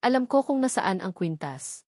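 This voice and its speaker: A woman voicing a calm, firm male co-worker defending someone in an audio drama story. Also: medium pitch, moderate pace, no room sound, clean studio sound.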